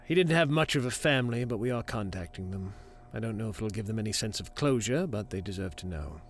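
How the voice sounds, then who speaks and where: A man playing a dutiful, weary leader in an audio drama, speaking in a measured, formal and resolute way. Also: lowish voice, animated, a little hiss or hum, natural voice. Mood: grave, resolute.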